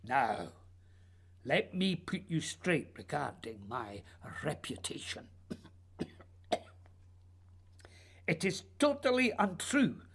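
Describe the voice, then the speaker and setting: A proud, secretive Edwardian gentleman playwright, acted by a woman for a radio drama. The delivery is slow and formal, with a husky edge and a lively, probing rise and fall that suggests a guarded, wounded man. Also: low voice, full character voice. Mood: indignant. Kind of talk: radio play acting.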